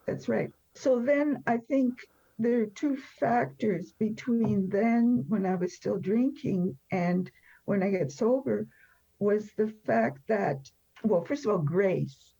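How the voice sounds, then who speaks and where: A woman's voice: mid-range voice, takes it slowly, warm tone, breathy edge. A woman in her seventies telling personal stories as an interview guest, conversationally, in a candid, wry and emphatic way. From born in France. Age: 70s. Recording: dull, lo-fi sound.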